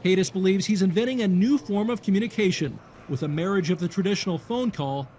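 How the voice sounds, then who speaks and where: High-voiced male news reporter. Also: quick pace, a little mellow, a little hiss or hum. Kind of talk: scripted news narration.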